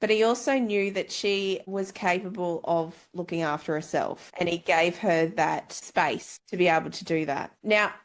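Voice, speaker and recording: A woman's voice: fairly low pitch, normal speed, hardly any pauses. A woman hosting a casual book discussion in a playful, teasing manner. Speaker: book club podcast host.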